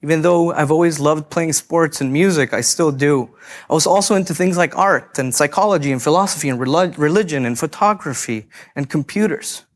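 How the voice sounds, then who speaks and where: Man, from Guatemala, 30s, conference keynote, earnest storyteller, somewhat high pitch. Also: brisk. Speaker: keynote speaker. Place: conference stage before an audience.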